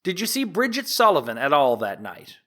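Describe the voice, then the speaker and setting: A man reading the part of a lawyer in a trial transcript, asking measured, methodical questions. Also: fairly high pitch, wildly swooping pitch, bright, forceful tone, heavily acted. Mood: questioning.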